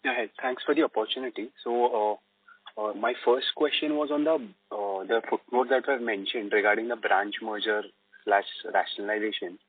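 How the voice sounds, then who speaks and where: A man's voice: fairly low pitch, normal speed, slightly rough. A man speaking as an analyst, putting questions to company management on an earnings call. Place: conference call. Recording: roomy, distant phone line.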